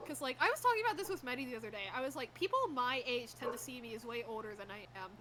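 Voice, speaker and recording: A woman's voice: a little high, animated, very fast. A young woman chatting casually with friends on a stream, rambling with lots of "like" and frequent laughs. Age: young adult. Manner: rambling, many "like"s, laughs often. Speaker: streamer host. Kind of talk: casual group chat. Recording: noisy stream audio.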